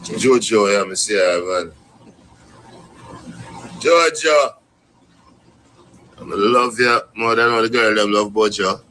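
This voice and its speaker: A man with a Jamaican accent giving relaxed, rolling shout-outs to fans in casual patois on a live stream. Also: lowish voice, takes it slowly, long pauses, a little hiss or hum, poor audio.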